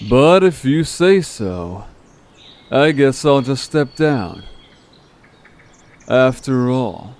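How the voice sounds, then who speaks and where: A man plays a scheming monster villain who leads his gang with slow, sarcastic condescension. He talks in an unhurried drawl, as in an audio drama. Birdsong and steady noise sit behind the voice. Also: medium pitch. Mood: feigned reluctance, smug. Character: manipulative male Fleen gang leader, vengeful, sarcastic monster villain. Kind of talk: scripted audio drama acting. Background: birdsong, forest ambience.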